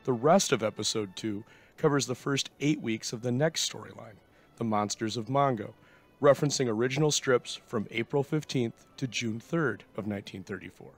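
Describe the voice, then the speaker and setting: A man's voice: mid-range voice, normal speed, faint music behind. A man giving a formal, scripted introduction as the host of a show. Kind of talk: scripted narration.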